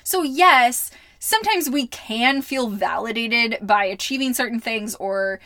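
A woman hosts her own coaching podcast, talking in a chatty, upbeat way with plenty of casual fillers. Her fairly high voice is slightly hoarse today. The sound is close and dry, with no room around it. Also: lively intonation, measured pace, bright, forceful tone, no echo. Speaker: podcast host and life coach. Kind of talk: solo podcast talk.

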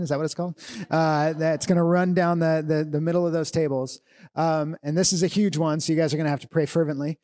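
A man leading a church family meeting, giving relaxed, joking instructions to tables of people. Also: on the high side. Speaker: church leader.

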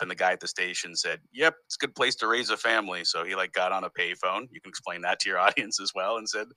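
Brief: low, bright-toned male comedian, meandering and chatty, dry close sound. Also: dry recording, poor audio. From United States. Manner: rambling, self-interrupting storyteller. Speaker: comedian guest. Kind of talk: casual interview conversation.

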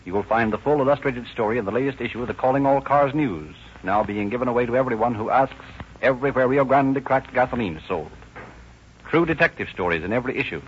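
An American man narrates as a formal 1930s radio announcer. His voice is medium in pitch and measured, heard through a dull, faintly noisy vintage recording.

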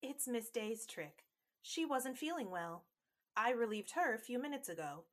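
A woman's voice: on the high side, lively intonation, normal speed, natural voice. A woman playing a polite, matter-of-fact telephone operator in a radio drama, reporting to her boss. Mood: polite, explanatory.

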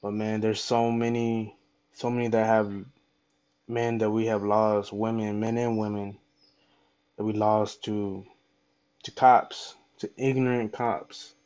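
A man hosting a solo podcast, speaking off the cuff in an impassioned, halting way and repeating his phrases. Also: on the low side, little pitch movement, a little mellow, clear, resonant tone, lo-fi sound. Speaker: podcast host. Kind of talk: unscripted solo podcast monologue.

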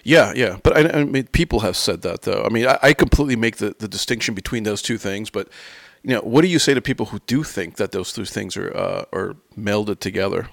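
Gravelly, low-voiced American man interviewing. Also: brisk, dry recording, studio quality. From United States. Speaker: interviewer, host. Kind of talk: casual conversational interview.

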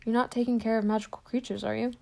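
A woman's voice: a little high, little pitch movement, very fast, vocal fry, darkish tone. A woman voicing a blunt teenage boy. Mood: dismayed.

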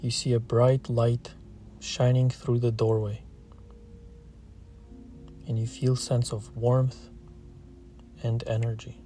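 Mellow, even-toned male meditation guide. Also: medium pitch, faint music behind. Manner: calm, soothing, gentle, with long pauses between short phrases. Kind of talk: slow guided meditation, scripted narration.

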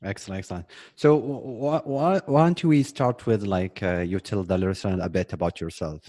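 A man hosting a podcast interview, talking in a relaxed way with some stumbles and "like" fillers. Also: lowish voice, moderate pace. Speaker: podcast host. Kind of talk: casual interview chat.

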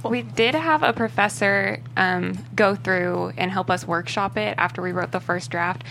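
A woman's voice: mid-range voice, normal speed, creaky, a bit bright, slight background noise. A young woman, a screenwriter guest, chatting casually in an interview. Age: young adult. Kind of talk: casual chat.

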